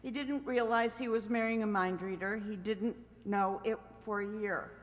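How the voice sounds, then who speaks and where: A woman's voice: mid-range voice, steady tone, moderate pace, natural voice. A woman playing a cheerful, self-assured married mind reader as a matter-of-fact contestant on an old-time radio quiz. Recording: long hall reverb.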